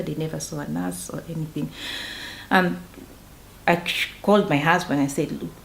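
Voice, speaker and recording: A woman from northeastern Uganda, speaking, who founded a farming project and talks in an earnest, measured way in a documentary interview. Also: sits low, creaky, a little mellow. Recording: echoey room, faint background noise.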